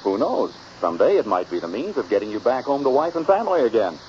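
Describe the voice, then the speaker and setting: A man announcing in a polished, scripted 1940s radio style, with deliberate salesmanship. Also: mid-range voice, very animated, a little mellow. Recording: dull old radio recording, faint noise.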